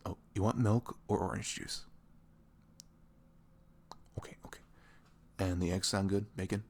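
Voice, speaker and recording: A man playing a sweet, caring boyfriend in an intimate ASMR roleplay, speaking softly and tenderly in a velvety, often breathy voice with earnest, rambling reassurance. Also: low-pitched, steady tone, a little slow, slight background noise. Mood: affectionate, playful. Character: sweet, caring, devoted young boyfriend talking in bed.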